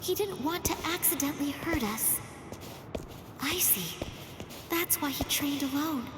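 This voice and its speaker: Gentle teenage anime girl, high voice, earnest, self-doubting, long echoing reverb. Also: animated, a little slow, background noise. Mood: wondering, reflective. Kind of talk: anime game cutscene dubbing. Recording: echoing reverb, music behind.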